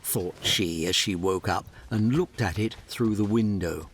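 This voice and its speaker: A man's voice: lowish voice, a little slow, breathy edge. A man narrating a fairy tale as a dramatic, scripted storyteller.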